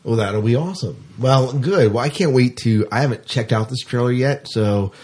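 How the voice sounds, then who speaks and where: A man's voice: mid-range voice, normal speed, darkish tone, husky. A middle-aged man hosting a podcast, chatting informally and reading out entertainment news with a fan's enthusiasm. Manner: informal, enthusiastic fan talk. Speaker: podcast host.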